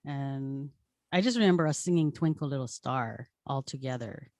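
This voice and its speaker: A woman's voice: a bass voice, moderate pace, darkish tone, no echo. A woman in her forties chatting casually as a guest, with a playful, informal manner. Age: 40s. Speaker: show guest. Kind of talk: casual chat.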